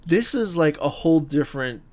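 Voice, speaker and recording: A man co-hosting a podcast, chatting casually and a little haltingly, often feeling his way through his thoughts. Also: on the high side, animated, darkish tone. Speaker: podcast co-host. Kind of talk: casual chat about movies and video games.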